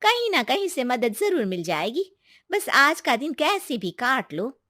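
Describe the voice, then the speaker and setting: A woman's voice: sits high, sing-song, measured pace, sharp tone, no echo, clean studio sound, full character voice. A woman voicing a kindly, secretive young village woman in a cartoon, speaking in an emphatic, reassuring, colloquial way. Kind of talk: Hindi moral-story cartoon dialogue.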